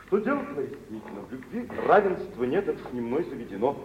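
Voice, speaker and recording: An actor playing a suave, self-assured gentleman shipowner in an old Russian radio drama, ironic and smoothly condescending, in a theatrical manner. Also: medium pitch, very animated, quick pace, close to an everyday voice. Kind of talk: mid-20th-century Russian radio theatre acting. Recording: muffled, hissy old radio drama recording.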